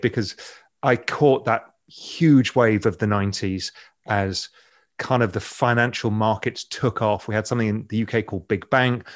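A man in his fifties with an English accent, a podcast guest telling his career story in a relaxed, rambling way with frequent ums. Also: mid-range voice. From England. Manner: relaxed, anecdotal, many ums. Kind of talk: interview storytelling.

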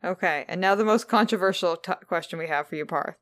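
Woman hosting a podcast, lowish voice, asks the questions and keeps things moving. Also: fast. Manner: asks questions and steers the conversation. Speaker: podcast host. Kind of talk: casual interview.

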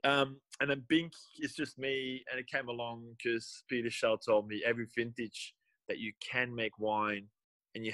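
A man's voice: fairly low pitch, a bit bright, no room sound, rough recording. A young man, a winemaker talking in a casual interview, rambling and often correcting himself mid-sentence. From Belgium. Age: young adult. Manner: rambling, restarts and self-corrections. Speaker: guest winemaker.

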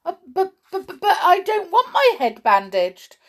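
An indignant male pixie from a children's storybook, put on by a woman. He splutters and protests in bewilderment. The voice is pitched high and leaps about. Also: huge pitch swings, a little slow, a bit bright, full character voice. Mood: alarmed, cross.

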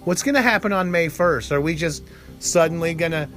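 A man's voice: fairly high pitch, a little fast, fairly bright tone, soft background music. An American man hosting a solo podcast, talking in a worried, rambling way and leaning on repeated words for emphasis. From United States. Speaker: podcast host. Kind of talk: anxious solo podcast monologue.

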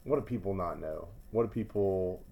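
A man hosting a podcast, asking loose, relaxed questions with fillers. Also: low-pitched, moderate pace, creaky, slight background noise. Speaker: podcast host. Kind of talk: casual interview hosting.

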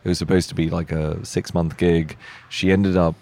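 A man's voice: sits low, normal speed, gravelly. A man in his thirties from the Czech Republic with a British accent, talking casually and self-deprecatingly in a live interview at a hotel. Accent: British English. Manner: relaxed, self-deprecating, many fillers. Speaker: software company founder. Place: hotel lounge.

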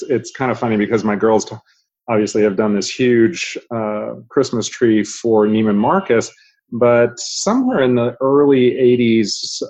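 A middle-aged man from Japan, a physician, telling his story in a measured way with many "uh" pauses. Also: fairly low pitch, darkish tone. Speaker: guest, father and physician. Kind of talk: casual interview talk. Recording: slightly dull lo-fi recording.

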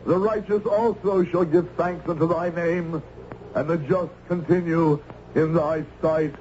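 A man acting a high-pitched fanatical Puritan preacher. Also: steady tone, measured pace, warm tone, dull audio, natural voice. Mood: solemn praying. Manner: thundering, declamatory, pompous. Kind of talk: old-time radio drama acting, archaic sermon-like oratory. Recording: noisy, band-limited old radio recording.

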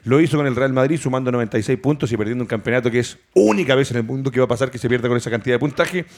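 A man hosts a sports talk show. He talks quickly, with a lot of "eh" fillers as he moves from point to point. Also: mid-range voice, darkish tone. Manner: fast, hesitant with fillers.

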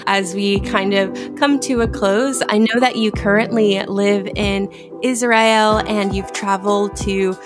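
A woman hosts the conversation in a friendly, encouraging way, loose and chatty as she asks questions. Her voice sits at a middle pitch. Music plays clearly underneath. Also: fairly even intonation, a little slow. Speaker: podcast host. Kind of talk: conversational interview.

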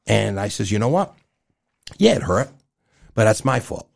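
Gravelly, low-voiced man in his fifties. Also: sing-song, moderate pace. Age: 50s. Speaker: guest, event promoter. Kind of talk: casual conversational interview.

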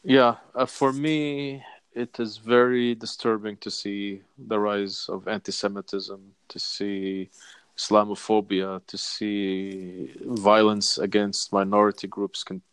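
Palestinian guy, lowish voice, slow careful interview answers, dry close mic. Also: flat delivery, a bit bright, clear, resonant tone, dry recording. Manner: measured, deliberate, lists points. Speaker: interview guest. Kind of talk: earnest advocacy interview.

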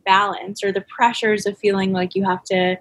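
A woman with an ordinary mid-pitched voice hosts an interview podcast. Her questions wander and are scattered with hesitations, and her introductions sound bright and scripted. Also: little pitch movement, normal speed. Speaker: podcast host. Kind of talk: interview hosting.

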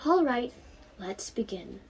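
Young woman as a kindly, lively teacher aunt. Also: on the high side, slow, gravelly, slightly rough, heavily acted, low-quality recording. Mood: fond, instructive. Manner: patient, explanatory, fond.

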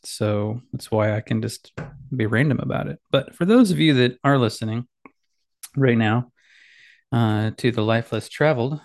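Rambling man with a gravelly, slightly nasal voice. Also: medium pitch, a little mellow. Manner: rambling, self-deprecating, many fillers and restarts. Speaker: podcast host. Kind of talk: casual solo podcast chat. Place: small home office. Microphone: boom mic with headphones.

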